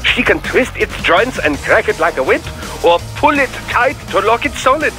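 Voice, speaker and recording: A fussy scientist in a video game, his voice high and bright. He lectures with precise, formal fascination and animated swoops in pitch. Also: moderate pace, faint music behind, exaggerated voice. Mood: fascinated, admiring. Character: eccentric, fussy male scientist, fascinated and analytical. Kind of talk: video game radio dialogue acting.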